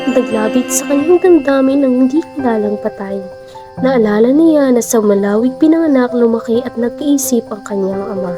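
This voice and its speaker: A woman's voice: on the high side, moderate pace. A woman reading scripted story narration for a radio drama. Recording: music playing loudly behind. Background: loud music.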